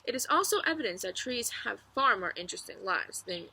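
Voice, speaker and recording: A woman reading a children's book aloud as narrator, expressively, with clear storytelling phrasing and emphasis. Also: medium pitch, expressive, fairly quick, pushed and bright, a little hiss or hum, low-quality recording.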